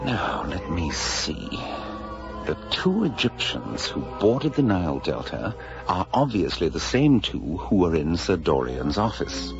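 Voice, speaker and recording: A shrewd, grandiose detective reasons aloud in a very deep, animated voice. It is a slightly dull radio drama recording with music behind. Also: male voice, heavily acted. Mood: triumphant, deducing. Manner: deliberate, deductive, grandiose. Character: shrewd, theatrical male detective lecturing his colleague.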